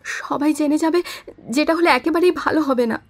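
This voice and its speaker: A woman's voice: high voice, moderate pace. A woman playing an anxious, guilt-ridden witness in an audio drama, pleading and defensive with feeling.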